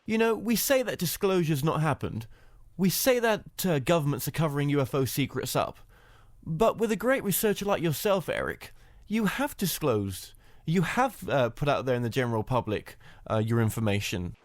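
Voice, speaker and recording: A man's voice: fairly high pitch, lively intonation, moderate pace, dry recording, studio quality. A male host asking rambling interview questions full of "you know" fillers.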